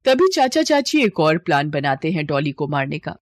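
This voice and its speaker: A woman's voice: low voice, sing-song, normal speed, no room sound. Woman storyteller, dry close recording. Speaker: narrator. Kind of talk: scripted story narration.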